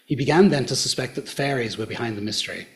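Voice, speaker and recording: A middle-aged man with an Australian accent, giving a public talk in a hotel function room and retelling local folklore in a measured storyteller's way. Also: mid-range voice. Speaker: local history presenter.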